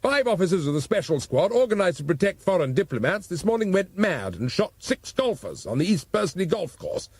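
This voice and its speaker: A man voicing a loud, exasperated, foul-mouthed police commissioner. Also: high-pitched, big pitch swings, a little fast, few pauses, husky, dry recording, pitched above the performer's own voice, full character voice.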